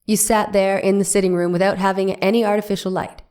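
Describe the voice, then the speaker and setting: A woman playing a male defense lawyer in a dramatic reading of a trial, questioning in a measured, polite but persistent way. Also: mid-range voice, level tone, a little mellow, lightly acted. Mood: methodical, probing. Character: methodical, persistent male defense lawyer cross-examining a witness.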